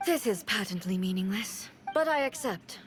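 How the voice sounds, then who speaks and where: A woman voicing a stern, coldly composed female shogun deity in a video game, formal, measured and blunt. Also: medium pitch, expressive, lightly acted. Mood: solemn.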